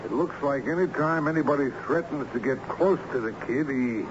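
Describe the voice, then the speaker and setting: Actor as a brisk scheming lawyer, muffled noisy recording. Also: male voice, mid-range voice, close to an everyday voice. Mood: brisk, commanding. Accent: American. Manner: commanding, businesslike, slangy. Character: brisk, scheming male lawyer leading a search. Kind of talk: American radio drama acting. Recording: muffled, noisy old radio drama recording.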